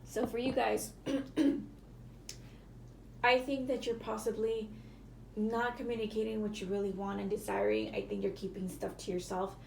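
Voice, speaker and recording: A woman with a hoarse, cracking voice, giving a casual tarot reading to viewers and often apologizing. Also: mid-range voice, moderate pace, echoey room, slight background noise, rough recording. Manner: chatty, apologetic, hedging with fillers. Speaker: tarot reader.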